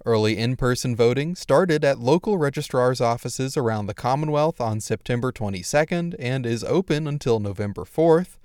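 A man's voice: medium pitch, a little mellow, dry recording, studio quality. A man with an American accent delivering a scripted radio news report.

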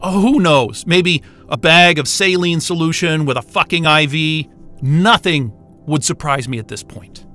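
An actor playing an irreverent, wisecracking astrophysicist in a sci-fi audio drama, with a sarcastic, informal and exasperated way of talking. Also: male voice, a little high, unhurried, pushed and bright, faint music behind. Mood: sarcastic, grumbling. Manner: sarcastic, informal, hesitant muttering and outbursts.

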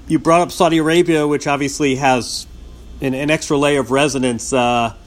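A man interviewing a guest, asking long questions with many halting restarts. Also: fairly high pitch, fairly even intonation. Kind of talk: podcast interview.

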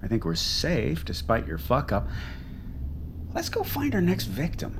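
A cruel, controlling killer in an audio drama speaks with menace and contempt. His pitch swings widely as he gives commands, over clear background noise. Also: huge pitch swings, measured pace. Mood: cold, scheming. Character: cold, domineering man, cruel creator and killer.

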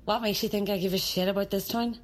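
An actress playing a blunt, sarcastic, quietly threatening woman in an audio drama. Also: mid-range voice, fairly even intonation, quick pace, close to an everyday voice. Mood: dry, sardonic. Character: menacing, sardonic woman searching museum for something.

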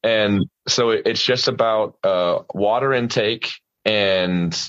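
A man's voice: fairly low pitch, slow pace, a bit bright, muffled recording. A male singer talking casually and earnestly about voice care, often restarting his sentences as he gives practical advice. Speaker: singer, guest. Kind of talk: casual conversation.

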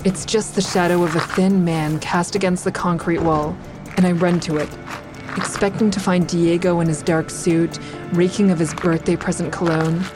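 An actress plays an anxious, watchful woman telling her own story in an audio drama. Her voice sits low and measured, confiding yet uneasy, with music clearly underneath. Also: steady tone, lightly acted. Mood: tense, measured. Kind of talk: first-person audio drama narration.

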